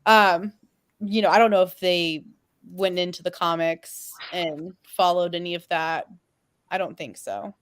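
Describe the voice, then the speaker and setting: A woman's voice: fairly low pitch, measured pace, a bit bright, clean tone, dry recording. A woman in a casual panel discussion, talking hesitantly and loosely with lots of filler "like"s. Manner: hesitant, rambling, with many "like"s.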